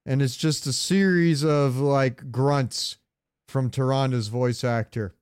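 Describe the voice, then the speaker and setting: A man with a clean, resonant voice at a middling pitch. He talks in loose, casual banter as a podcast co-host and swears freely. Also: steady tone, slow, a little mellow, clean tone, no echo, studio quality. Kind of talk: casual banter about game sounds.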